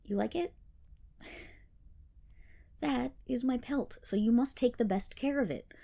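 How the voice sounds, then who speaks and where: A woman playing an affectionate, teasing selkie in an ASMR roleplay, speaking softly and breathily with a lilt and fond scolding. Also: a little high, a little slow, vocal fry. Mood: fondly teasing.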